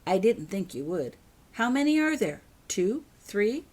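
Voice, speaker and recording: A woman's voice: medium pitch, big pitch swings, unhurried, a little mellow, voice pushed up. Young daughter role for an audiobook, read by a woman: clever, composed, insistent, never gives up. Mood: scheming. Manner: insistent, persistent.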